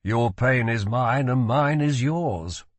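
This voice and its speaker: A man voicing a guarded magician in an audiobook, a calm and protective brother who speaks in a measured, earnest way. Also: mid-range voice, no room sound, natural voice. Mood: firm.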